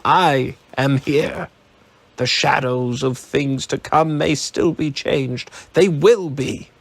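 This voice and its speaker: A man voicing an overjoyed elderly miser who exclaims, laughing and crying at once, in a gravelly voice. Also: medium pitch, slow pace, dry recording. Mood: giddy joyful.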